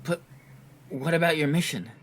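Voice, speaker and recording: A man's voice: on the high side, normal speed, close to an everyday voice. An actor playing an earnest, slightly awkward young male superhero in an audio drama, hesitant and sincere, sometimes stammering. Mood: hesitant, concerned.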